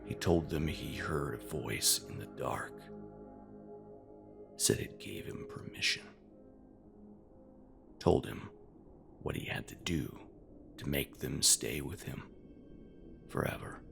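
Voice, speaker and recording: A man voicing a brooding, possessed young man in a horror story, low, hushed and ominous. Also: lively intonation, roomy sound, full character voice. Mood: hushed menace. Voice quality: low, hushed, breathy half-whisper. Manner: slow, ominous. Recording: loud music under the voice.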